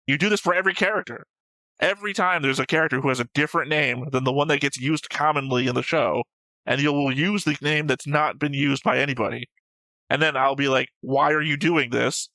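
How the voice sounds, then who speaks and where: A man's voice: a little high, brisk, pushed and bright, no echo, low-quality recording. A man co-hosting an anime show in casual, opinionated discussion, argumentative and emphatic, swearing freely. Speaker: co-host of an anime reaction show.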